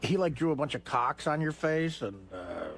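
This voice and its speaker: A man's voice: on the high side, lively intonation, moderate pace. A man who is a comic sidekick on a radio show, trading crude, needling banter in a casual way. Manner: mocking, needling.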